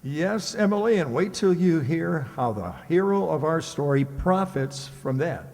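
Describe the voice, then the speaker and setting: A man's voice: a little high, animated, a little slow, darkish tone. A man playing a genial uncle who hosts and tells stories for children in old-time radio style. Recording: echoey hall with long reverb.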